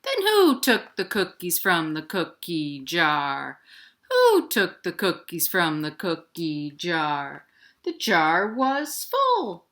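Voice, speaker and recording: A woman's voice: lowish voice, huge pitch swings, takes it slowly, fairly bright tone. A woman hosting a children's storytime, reading playfully in sing-song rhymes and speaking directly to young children. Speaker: children's librarian, storytime host. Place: at home, indoors.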